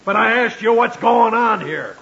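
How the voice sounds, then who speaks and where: An American actor playing a brusque, impatient and suspicious police officer in 1940s radio comedy style. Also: male voice, high-pitched, expressive, normal speed, gravelly, sharp tone, exaggerated voice. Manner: brusque, commanding. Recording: dull 1940s radio broadcast.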